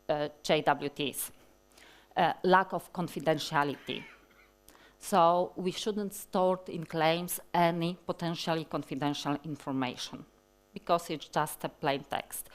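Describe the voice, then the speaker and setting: A woman in her forties with a Polish accent, giving a technical conference talk from a large hall stage, speaking slowly and deliberately with many fillers and restarts. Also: low-pitched.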